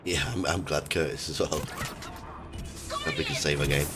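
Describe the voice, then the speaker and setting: A man's voice: a bass voice, fairly even intonation, moderate pace, over loud music. A middle-aged man chatting casually and a little hesitantly as he hosts a game stream. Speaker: game streamer hosting a multiplayer chat. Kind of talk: casual chat while gaming. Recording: loud gunfire and game noise behind.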